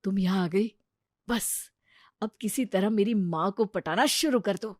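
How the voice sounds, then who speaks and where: A woman's voice: medium pitch, measured pace, a little mellow, dry recording, studio quality, lightly acted. Fretful young lover, voiced by a woman. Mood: worried. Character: worried young man in love.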